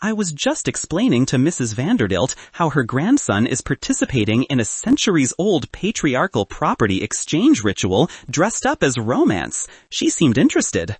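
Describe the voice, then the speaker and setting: A man's voice: medium pitch, wildly swooping pitch, normal speed, few pauses, husky, no room sound. A man voicing a cynical, sardonic best man in an audiobook, lecturing and mocking with smug cheer. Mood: cheerful mockery.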